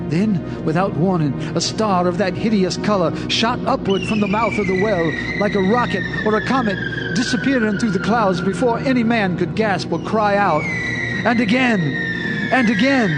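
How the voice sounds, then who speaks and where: An actor playing an old American farmer, bitter and unhinged, telling of horrors in a folksy, intense audio drama style. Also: male voice, high voice. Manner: folksy, intense storytelling with theatrical swells.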